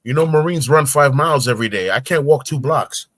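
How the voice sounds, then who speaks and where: A man's voice: mid-range voice, brisk, fairly bright tone, no echo. A man in his forties, a guest on a casual talk show, telling stories with energy and acting out grunts and voices. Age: 40s.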